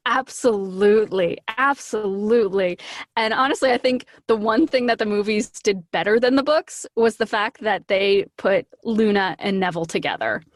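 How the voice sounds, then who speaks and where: A woman chatting casually as an interview guest, animated and gushing, slipping into little acted-out asides. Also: mid-range voice, moderate pace, no echo, studio quality. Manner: animated, gushing, acts out her own quoted lines.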